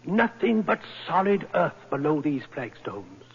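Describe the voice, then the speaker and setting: An actor playing a fussy, nervous bank director in 1940s radio drama style. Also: male voice, fairly high pitch, sing-song, unhurried, husky, heavily acted. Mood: pompous. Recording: muffled old radio broadcast with faint hiss.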